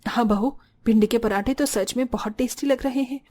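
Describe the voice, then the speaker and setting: A woman playing a bossy, sharp-tongued village mother-in-law in a cartoon story, assertive and scolding. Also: on the high side, a little fast, lightly acted. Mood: approving. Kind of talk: Hindi cartoon story dialogue.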